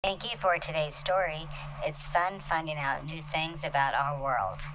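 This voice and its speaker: A woman's voice: low-pitched, a little slow. A woman giving simple, scripted lines for a children's program. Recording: noticeable background noise.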